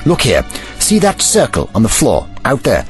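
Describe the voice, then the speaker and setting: Man playing a formal, lively-voiced older time traveler. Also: medium pitch, moderate pace, soft background music. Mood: measured, wry. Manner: formal, avuncular, precise. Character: the Doctor, older commanding male alien time traveler, protective. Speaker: actor in a sci-fi audio drama. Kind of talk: audio drama acting.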